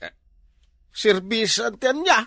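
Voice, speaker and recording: A man's voice: high voice, expressive, slow pace, creaky, sharp tone, dry recording, exaggerated voice. A man with an Ilocano accent acting a nosy, bossy older village man in a comedy skit. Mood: nosy, exclaiming.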